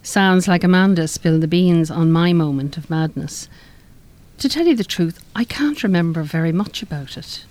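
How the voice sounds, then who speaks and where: Woman acting low-voiced, guarded refuge resident. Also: lively intonation, moderate pace, darkish tone, natural voice. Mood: evasive, wry. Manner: evasive, wry. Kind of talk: live radio play acting.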